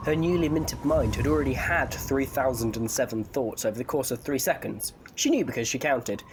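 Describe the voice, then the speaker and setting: A man's voice: medium pitch, a little fast, background noise. A man narrating a scripted story for an audio drama in a wry, playful storytelling manner.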